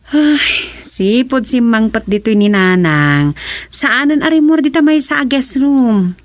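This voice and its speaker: A woman's voice: a little high, animated, takes it slowly. An actress playing a concerned young woman in an Ilocano family radio drama, earnest and questioning, with an Ilocano accent.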